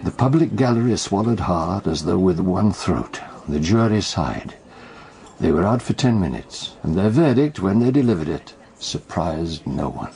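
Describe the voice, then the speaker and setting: A British amateur detective in a radio mystery, his voice very low and dark with a creaky edge. He is theatrical and teasing, spinning out his deductions with deliberate pauses. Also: very animated, heavily acted. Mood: grave, suspenseful. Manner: theatrical, measured storytelling with pointed pauses. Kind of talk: BBC radio detective drama acting.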